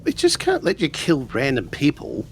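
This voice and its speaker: A man's voice: on the high side, wildly swooping pitch, normal speed. A man playing a defiant, protective boy in a tabletop roleplay, speaking with stubborn indignation. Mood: sceptical. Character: defiant antisocial boy protective of his friends. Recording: faint background noise.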